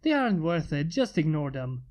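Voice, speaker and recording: A woman's voice: sits low, big pitch swings, moderate pace, a little mellow, pitched below the performer's own voice. A woman voicing a smug male inventor, plainly in her own voice. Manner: smug, mocking.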